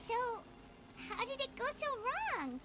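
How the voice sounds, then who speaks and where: Tiny plucky fairy sidekick: extremely high childlike squeak, very animated, anime game. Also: female voice, unhurried, heavily acted. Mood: curious. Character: small, excitable childlike fairy companion, female. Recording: loud music behind the voice.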